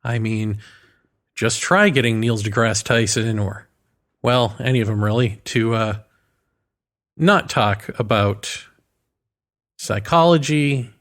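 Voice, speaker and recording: A man delivering an opinionated philosophical monologue in a sardonic way, leaning on rhetorical questions and emphatic repetition. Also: medium pitch. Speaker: commentator delivering a solo monologue.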